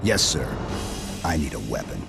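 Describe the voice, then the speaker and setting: An actor playing a stoic, fearless super-soldier in a video game, terse and deadpan, with music behind. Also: male voice, a bass voice, normal speed, gravelly, heavily acted. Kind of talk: cinematic video game acting.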